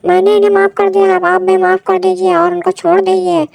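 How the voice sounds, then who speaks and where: A man's voice: extremely high pitch, completely flat delivery, a little fast, gravelly, darkish tone, no echo, pitched above the performer's own voice, full character voice. A man voicing an earnest, forgiving young village girl in a cartoon story. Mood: pleading.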